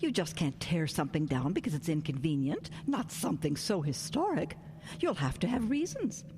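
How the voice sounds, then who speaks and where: A woman's voice: low voice, sing-song, normal speed, background noise. Prim, wildly swooping historian lady. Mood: excited, determined. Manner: prim, formal, theatrical diction. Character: prim, excitable woman historian defending an old house. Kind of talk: radio drama acting.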